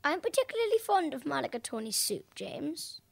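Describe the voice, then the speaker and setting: A woman's voice: sits high, very animated, moderate pace, creaky, dry recording, exaggerated voice. A woman acting a gracious, commanding ninety-year-old lady in an amateur radio play, formal and genteel.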